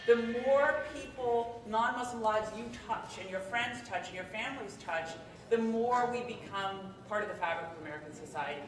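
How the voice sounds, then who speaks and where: A woman answering as a panel speaker, explaining her point earnestly. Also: medium pitch. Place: large room at a public panel event. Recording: echoey room, distant mic, background noise.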